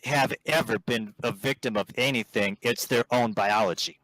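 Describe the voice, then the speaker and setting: A man speaking as a vegan activist in a voice-chat debate, halting with frequent restarts and ums. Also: mid-range voice, a bit bright, no room sound, rough recording. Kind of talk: debating on a voice call. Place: online voice chat.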